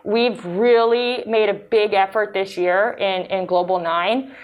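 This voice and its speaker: A woman from Philadelphia whose first language is Ukrainian, a high school teacher talking casually and filling her speech with "you know". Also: fairly even intonation, a little slow, clear, resonant tone, phone-quality sound. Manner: chatty, frequent "you know" fillers. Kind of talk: casual conversation.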